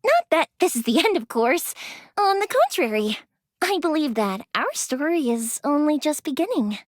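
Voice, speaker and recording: A woman's voice: sits high, normal speed, dry recording. An actress voicing a cheerful, playful young woman who makes fireworks, in game character voice lines with a close studio mic. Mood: wistful. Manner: bubbly and lively, giggling, softens for serious stories.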